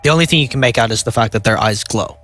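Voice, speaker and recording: A young man with a nasal voice, narrating a tabletop game as game master, halting and filling pauses with "uh" and "like". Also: medium pitch, fairly bright tone, soft background music. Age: young adult.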